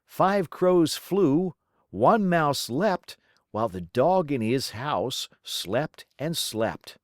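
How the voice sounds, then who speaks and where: Children's read-aloud narrator, female, theatrical and rhythmic, very unhurried, lively pitch swings, dry recording. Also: no echo. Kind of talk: expressive children's storybook narration.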